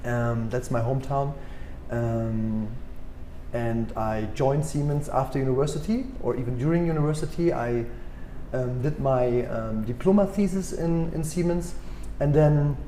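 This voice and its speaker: A man in his forties with a German accent, answering interview questions thoughtfully with many pauses and restarts. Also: medium pitch, mellow, echoey room, a little hiss or hum. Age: 40s. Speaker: guest executive.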